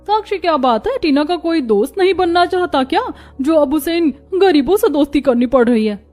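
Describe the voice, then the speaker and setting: Rich cartoon gossip, female actor, snide and snobbish, high pitch, music bed. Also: lively intonation, brisk, darkish tone. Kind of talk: cartoon story dialogue.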